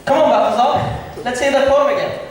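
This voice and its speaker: A man's voice: very high voice, a little fast. A man playing a genial, mischievous, lordly prince in an amateur school stage comedy. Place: large echoey indoor hall. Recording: very echoey hall from far off, hissy.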